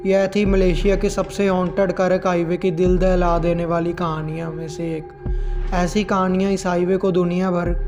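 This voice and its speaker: High-pitched, even-toned male horror storyteller. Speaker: horror story narrator and channel host. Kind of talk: scripted narration of horror stories.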